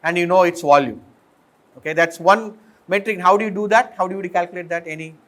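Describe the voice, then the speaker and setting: A middle-aged man with an Indian accent speaks in a fairly high voice. He lectures informally on technical material like a professor in a classroom, often putting questions to his listeners and then explaining. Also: brisk, a bit bright. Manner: explanatory, often posing questions and checking understanding. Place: indoor lecture room with green chalkboard. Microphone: fixed or worn mic, voice drifting as he turns.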